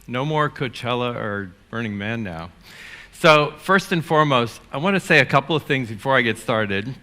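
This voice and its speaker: Older New man, keynote speaker, forceful bright tone, loose and chatty with lots of restarts. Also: mid-range voice, moderate pace. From United States. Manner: energetic, rambling with many fillers and restarts. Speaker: conference speaker. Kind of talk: keynote talk. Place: conference stage.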